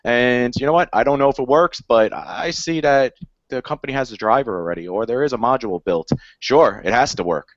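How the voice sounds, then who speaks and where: A man's voice: medium pitch, a little fast, no echo. A man in his thirties, an integrator guest, giving firm opinions in a casual panel chat over a headset. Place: home room with guitars. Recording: dry, dull headset audio.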